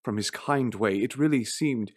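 Man acting a gentle, grieving Victorian father. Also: mid-range voice, close to an everyday voice. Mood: subdued, tender. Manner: tender, halting. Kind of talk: radio play acting.

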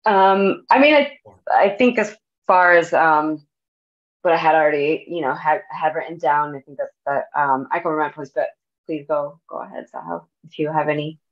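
Low-voiced young American woman, dull audio. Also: normal speed. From grew up in New Jersey, USA. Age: young adult. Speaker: guest, activist organizer. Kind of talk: casual political discussion. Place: home room with bookshelves. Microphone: earbuds on a video call. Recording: dull video-call audio.

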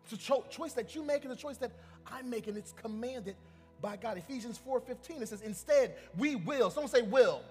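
A male pastor with a fairly high voice preaches with emphasis, often doubling back on his words and calling out to the congregation. His voice carries a long hall reverb, with faint music under it. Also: fairly quick, a little mellow. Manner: emphatic, with repeated restarts and calls to the congregation. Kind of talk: sermon. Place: church sanctuary.

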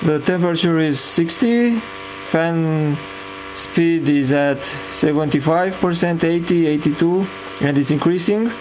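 A man giving an informal hands-on demonstration as presenter of an electronics project, with hesitant, halting phrasing. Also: on the high side, background noise.

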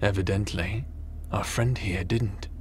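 Slow, menacing low-voiced male crime boss, acted by a man. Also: fairly even intonation, slight background noise, natural voice. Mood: cold, unapologetic. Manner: menacing, measured, smug, theatrical. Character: cold, scheming male crime boss craving power. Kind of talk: sci-fi audio drama acting.

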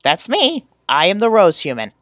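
A woman's voice: mid-range voice, huge pitch swings, normal speed, fairly bright tone, dry recording, studio quality. A woman voicing a goofy, earnest teenage boy from a webcomic, playful and exclamatory, in an amateur character reading. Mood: excited, teasing.

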